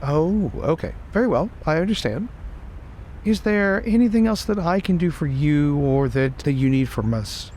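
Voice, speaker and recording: A man's voice: fairly high pitch, expressive, moderate pace, darkish tone, a little hiss or hum, natural voice. A man playing a deferential adventurer lord in improvised tabletop roleplay, polite and hesitant as he talks. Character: deferential, courteous adventurer lord serving his queen. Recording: faint music behind.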